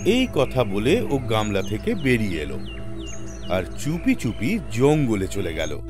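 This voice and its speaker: A man tells a children's story with dramatic expression. His pitch swings widely from phrase to phrase. Loud music runs behind the narration. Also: wildly swooping pitch, unhurried.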